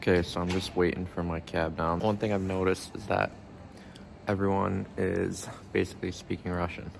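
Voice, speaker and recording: A man with a low voice chats casually as if updating a vlog. His delivery is very flat and plain-spoken, with little rise or fall. Clear ambient noise sits behind him. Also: normal speed. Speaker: vlogger, pro hockey goaltender. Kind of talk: casual vlog talk to camera.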